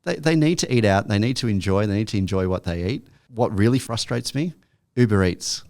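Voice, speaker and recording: Low-pitched man in his thirties, eager co-host. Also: animated, darkish tone, no echo. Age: 30s. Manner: eager, quick agreements, gives advice. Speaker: podcast co-host. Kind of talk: casual chat.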